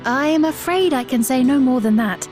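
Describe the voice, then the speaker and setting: Courtly queen for a game cutscene: higher register, measured, formal, gracious. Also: lively intonation, darkish tone, faint music behind. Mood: composed, regal. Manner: formal, measured, regal diction. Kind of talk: scripted video game cutscene acting.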